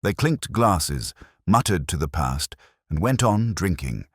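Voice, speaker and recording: Man acting melancholy detective narrator, very low voice, expressive rise and fall, measured, literary, scripted radio mystery. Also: slightly rough, dry recording, studio quality, exaggerated voice. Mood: brooding. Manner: measured, literary, brooding delivery.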